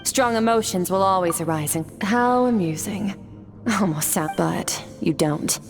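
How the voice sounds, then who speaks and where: An actress playing a composed female warrior mentor with a detached, measured, instructive manner in a video game. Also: mid-range voice, natural voice. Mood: stern, instructive. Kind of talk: video game character acting. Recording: loud music under the voice. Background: music.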